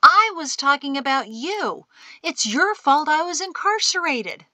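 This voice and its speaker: A woman's voice: a little high, lively intonation, a little slow, bright, forceful tone, dry recording, pitched above the performer's own voice. A woman voicing a bitter, hot-tempered young man in chains, agitated and sarcastic, as in an audiobook. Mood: accusing. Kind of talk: audiobook character acting.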